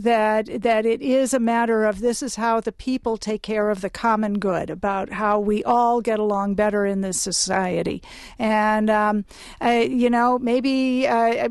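A woman who directs an advocacy group speaks in a slightly high voice, pausing often on "um" and "uh." The sound is close and dry, with no room echo. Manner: hesitant, many ums. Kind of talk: radio interview.

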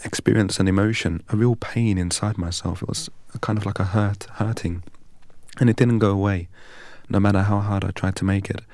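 Deep, flat-voiced Englishman, measured. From England. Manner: measured, precise and earnest. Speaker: interview guest. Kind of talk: reflective personal storytelling.

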